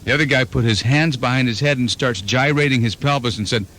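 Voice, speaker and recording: A man's voice: mid-range voice, brisk, bright, forceful tone, rough recording. Playful male morning radio host. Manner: storytelling, playful, slips into voices. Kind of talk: fast joking radio banter. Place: radio studio. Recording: 1991 FM radio aircheck.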